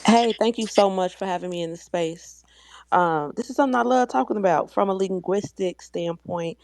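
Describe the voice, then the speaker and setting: A woman's voice: medium pitch, moderate pace, creaky, darkish tone, slight background noise. A woman with an American accent speaking as a guest in an online discussion, explaining things in an assertive, teacherly way.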